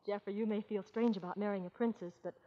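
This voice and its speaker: An actress playing an earnest, lovestruck young princess in a 1940s radio comedy. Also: female voice, medium pitch, fairly even intonation, fast talker, natural voice. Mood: tender. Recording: dull old radio recording.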